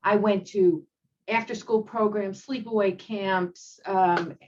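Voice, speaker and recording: A woman's voice: mid-range voice, steady tone, slow pace, darkish tone, slight background noise. Woman rabbi on a muffled video call. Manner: reflective, conversational, many ums. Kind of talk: personal storytelling on a panel. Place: home room.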